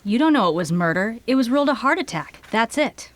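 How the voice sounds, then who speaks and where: An actress playing a businesslike radio program director in an audio drama, firm and matter-of-fact. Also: female voice, on the high side, lively intonation, fairly quick, natural voice. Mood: firm, official. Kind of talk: audio theater acting.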